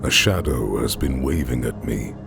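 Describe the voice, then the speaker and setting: A man's voice: rumbling low, expressive, normal speed, creaky. A man reading a scripted horror story as its narrator. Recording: background music behind voice.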